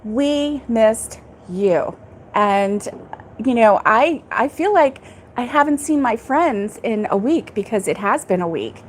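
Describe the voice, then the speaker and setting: A bubbly, slightly high-voiced woman hosts a casual live chat on a back porch and greets viewers by name. She laughs often. A faint hum of noise sits behind her voice. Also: lively intonation, slow. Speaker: host of a crochet livestream. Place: back porch, indoors, air conditioned.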